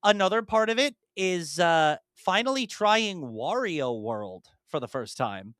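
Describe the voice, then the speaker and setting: A man's voice: sits high, sing-song, unhurried, a bit bright, dry recording, studio quality. A young man and podcast co-host chatting casually about video games, rambling through detailed explanations with many ums and restarts.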